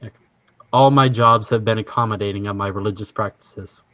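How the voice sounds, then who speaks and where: A young man from Washington state hosting a casual online chat, easygoing and quick to laugh as he reads quiz statements. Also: fairly low pitch, fairly even intonation, pushed and bright. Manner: easygoing, laughs often, halting restarts. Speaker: stream host.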